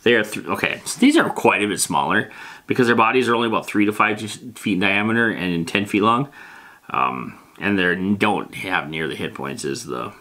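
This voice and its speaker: A man's voice: lowish voice, animated, normal speed, sharp tone. A man hosting a casual, rambling explainer on tabletop game monsters, reading out rules and tossing in informal, emphatic asides.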